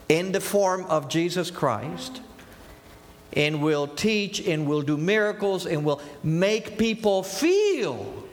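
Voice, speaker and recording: A man's voice: a little high, long reverb tail. A man in his fifties from Argentina, with an Argentine Spanish accent, Spanish as his first language, preaching an earnest sermon full of rhetorical questions. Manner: earnest, asks rhetorical questions and answers them.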